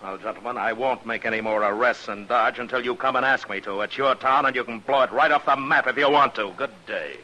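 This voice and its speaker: A man's voice: medium pitch, fairly quick, few pauses, background noise, close to an everyday voice. An actor playing a firm, world-weary frontier lawman with a dry, blunt manner in an old-time western radio drama. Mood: stern.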